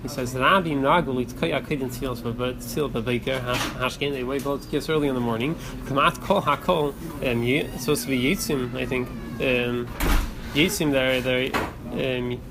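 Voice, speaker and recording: A man leading a religious study class, haltingly reading and explaining texts, repeating words as he goes in informal discussion. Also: mid-range voice. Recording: noisy room recording.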